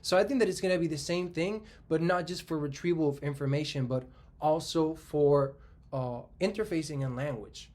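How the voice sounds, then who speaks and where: A man in his twenties, originally from Spain, talks alone in an easy conversational monologue, working out his argument as he speaks. He doubles back on his words and keeps checking in with "right?", and his voice sits at an ordinary middle pitch for a man. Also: a little mellow. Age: 20s. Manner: thinking aloud, frequent restarts and "right?" tags. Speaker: tech commentator. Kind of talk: casual solo monologue.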